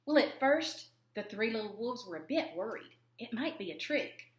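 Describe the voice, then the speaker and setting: Woman reading a kids story, highish lively voice, dramatic pauses, bit echoey room. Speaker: story reader. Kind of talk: expressive children's storybook narration.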